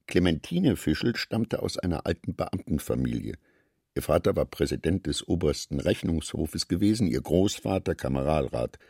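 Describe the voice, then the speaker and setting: A man reading literary prose for an audio drama in a measured, precise storytelling manner. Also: low voice, warm tone, husky, dry recording, clean studio sound. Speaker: narrator.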